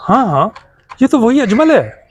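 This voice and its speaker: A man's voice: very high-pitched, sing-song, measured pace, slight background noise, exaggerated voice. A man playing an emphatic, earnest middle-aged customer with a bristling conscience in an amateur moral drama. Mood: indignant. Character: older customer, quick to bristle, later remorseful. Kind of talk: amateur moral short-film acting.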